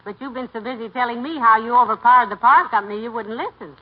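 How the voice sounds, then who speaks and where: Lady playing patient wry wife, high voice, skeptical teasing, muffled old radio comedy. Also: fast talker, natural voice. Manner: dry, gently teasing, skeptical. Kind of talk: 1940s radio comedy acting. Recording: dull 1940s radio recording.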